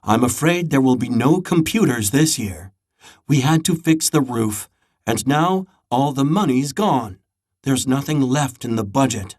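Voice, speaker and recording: A narrator acting out a woman school principal in a children's storybook. She is careful and apologetic, with a husky tone and lively intonation. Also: mid-range voice, a little slow.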